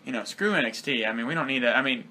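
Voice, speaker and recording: A man's voice: medium pitch, very fast, sharp tone. A man co-hosting a casual wrestling review podcast, talking in a laid-back, blunt way with lots of fillers. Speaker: podcast co-host.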